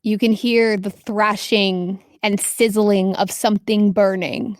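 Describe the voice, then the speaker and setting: A woman's voice: mid-range voice, little pitch movement, slow pace, a little mellow, no echo, clean studio sound. A woman narrating a tabletop role-playing game as the game master, describing scenes to players.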